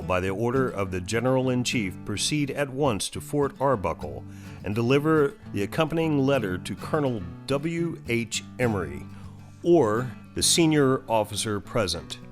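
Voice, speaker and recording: A man voicing a formal army adjutant who reads out official written orders in clipped, deliberate phrases. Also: mid-range voice, pitched above the performer's own voice, natural voice. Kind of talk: reading a 19th-century military letter. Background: plucked guitar music.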